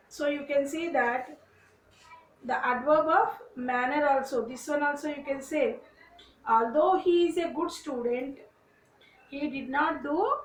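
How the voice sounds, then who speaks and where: A woman's voice: high voice, far from the mic. A woman from India, teaching a grammar lesson and repeating example sentences phrase by phrase. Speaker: English teacher. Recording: echoey room, voice a bit off-mic, faint noise.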